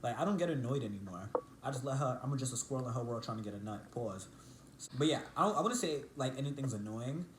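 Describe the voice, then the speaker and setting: A man's voice: expressive, fast talker. A playful young man in his twenties chats loosely beside his partner, teasing and joking as he talks. His voice bounces around a small echoey room, with a faint hum underneath. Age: 20s. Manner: playful, jumps high when mimicking his partner. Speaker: couple vlogger. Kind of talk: casual chat.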